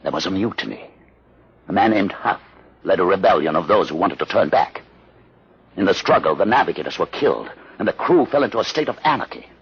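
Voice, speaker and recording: An actor playing a grave, learned leader of outcasts in a solemn, measured 1950s radio drama style. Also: male voice, low-pitched, lively intonation, breathy edge, full character voice. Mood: grave, bleak. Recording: dull lo-fi old radio recording.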